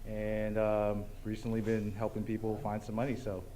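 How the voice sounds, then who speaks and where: A man, a local realtor, introducing himself softly with many fillers. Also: on the low side, completely flat delivery, normal speed. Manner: soft, filler-heavy list.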